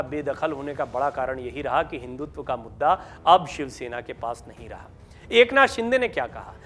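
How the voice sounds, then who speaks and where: A man with a slightly high voice presents news commentary. He explains step by step in the manner of an analyst, putting questions to the listener and then answering them. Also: fairly bright tone.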